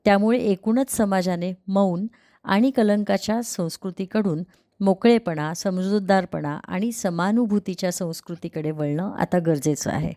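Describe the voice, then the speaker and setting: A woman's voice: medium pitch, moderate pace, mellow, no echo, studio quality. A woman reading a prepared public appeal as an organisation's spokesperson.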